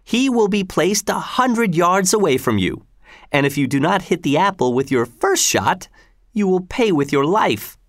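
A reader putting on a sneering tyrant governor, swooping pitch. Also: big pitch swings, normal speed, no room sound, studio quality, close to an everyday voice. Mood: menacing. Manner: mocking, commanding, falsely reasonable. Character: sneering tyrant governor, mocking, imperious, cruel man.

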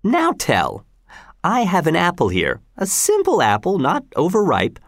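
Casting: audiobook reader as imperious, cruel male governor; mocking, lifted pitch with big swings, dry sound. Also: wildly swooping pitch, a little slow, dry recording, exaggerated voice. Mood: menacing. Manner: mocking, commanding, falsely reasonable.

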